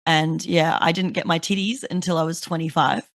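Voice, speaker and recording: Brief: woman host with a lowish voice, dry close sound, chatty, swears a lot. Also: brisk, no room sound. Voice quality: deeper voice. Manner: chatty, swears freely, animated storytelling. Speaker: podcast host. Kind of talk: casual chat.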